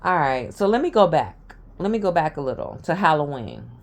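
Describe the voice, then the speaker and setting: A hoarse woman in her forties telling a rambling, casual story to camera, with informal grammar and many side notes. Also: low-pitched, big pitch swings, fairly quick. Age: 40s. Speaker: vlogger.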